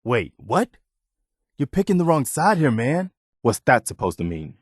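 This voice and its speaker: An actor playing an earnest, loyal militia leader in a video game, speaking in a sincere, measured, conversational way. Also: male voice, mid-range voice, sing-song, breathy edge, no room sound, clean studio sound. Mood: disapproving. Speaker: video game companion character. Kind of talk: acted video game dialogue lines.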